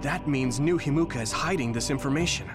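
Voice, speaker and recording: A man's voice: medium pitch, brisk, music in the background, lightly acted. A man playing an earnest, thoughtful young soldier in a video game. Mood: earnest, pondering. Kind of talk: scripted video game voice acting.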